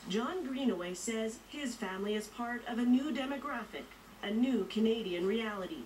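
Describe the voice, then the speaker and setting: A woman with a somewhat high voice reads a news feature in a measured, even tone. She sounds set back from the mic, and clear noise runs underneath. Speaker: reporter narrator. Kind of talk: scripted news narration. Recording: distant voice with noticeable noise.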